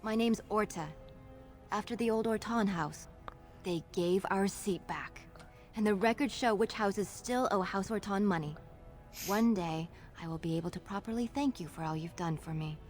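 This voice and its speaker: A woman's voice: medium pitch, moderate pace, a little mellow, soft background music, lightly acted. A woman playing an earnest, grateful young dwarf noblewoman in a fantasy video game. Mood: grateful, proud. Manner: earnest, heartfelt.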